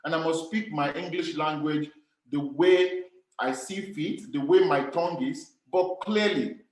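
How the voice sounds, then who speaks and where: A man's voice: on the high side, measured pace, roomy sound. A Nigerian man with a Nigerian accent giving an earnest, emphatic motivational talk to young people about communication, repeating his key phrases. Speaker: speaker on communication skills.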